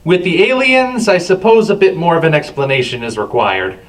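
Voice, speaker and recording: A man's voice: on the high side, expressive, normal speed, a bit bright. A man hosting a review with scripted commentary and a wry, sardonic manner. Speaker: reviewer, show host. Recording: distant from the microphone.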